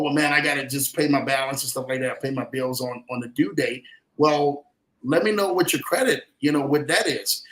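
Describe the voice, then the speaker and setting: A man's voice: medium pitch, a bit bright, a little hiss or hum. A man from New Orleans giving motivational podcast talk as a credit coach, emphatic and preacherly, addressing listeners directly. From New Orleans, United States. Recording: roomy, echoing sound.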